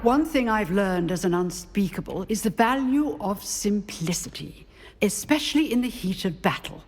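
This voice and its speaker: An actress playing a sharp, boastful old British professor of duelling in a fantasy game, lecturing drily in a reverberant hall. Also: female voice, mid-range voice, animated, moderate pace, slight background noise, close to an everyday voice. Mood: wry, commanding. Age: older. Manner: dry, imperious lecturing.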